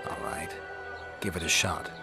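An actor playing a stoic, curt monster hunter in a video game, with a terse, deadpan delivery. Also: male voice, moderate pace, faint music behind. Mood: curt, defiant. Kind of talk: video game character acting.

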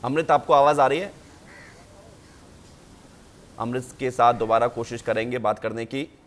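A male news anchor speaking in measured sentences with frequent hesitation pauses. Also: medium pitch.

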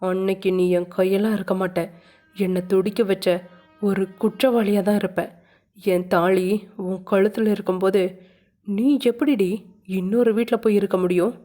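A woman voicing Veera, a furious, menacing young landlord man who shouts threats, with a mellow voice. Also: mid-range voice, steady tone, normal speed, pauses often, soft background music, lightly acted.

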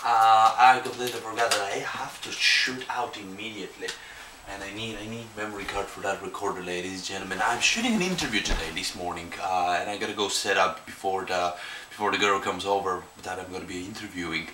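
A man's voice: sits low, brisk, far from the mic, background noise. Chatty man vlogging with a sore throat. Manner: chatty, addresses viewers as ladies and gentlemen. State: sore throat, slightly hoarse. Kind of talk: casual vlog chat to camera. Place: small flat kitchen and bedroom indoors. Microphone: handheld camera mic. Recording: echoey room with clattering dishes.

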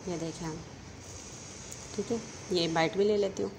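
A woman with a Pakistani Urdu accent hosting a casual home cooking tutorial, talking chattily and tagging each step with a quick check-in. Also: lowish voice, steady tone, background noise. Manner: chatty, repeats "theek hai" after each step. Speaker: home cooking channel host.

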